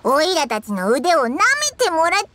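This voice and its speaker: A woman's voice: high voice, animated, brisk, a bit bright, slight background noise. A woman voicing a small, cheeky, boastful childlike fairy companion in an anime game, talking bluntly and boyishly. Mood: indignant. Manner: cocky, blunt, boyish speech. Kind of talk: Japanese anime game voice acting. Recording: music behind the voice.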